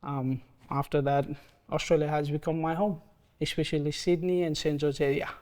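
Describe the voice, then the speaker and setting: A man in his thirties from Nepal with a Nepali accent, speaking hesitantly with many pauses in an oral history interview. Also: on the high side, flat delivery. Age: 30s. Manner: soft-spoken, hesitant, frequent ums.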